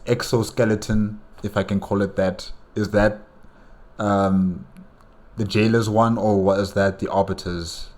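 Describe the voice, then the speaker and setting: Deep-voiced man chatting casually, hesitant. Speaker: reaction video host. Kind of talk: casual chat, reacting to game cutscenes.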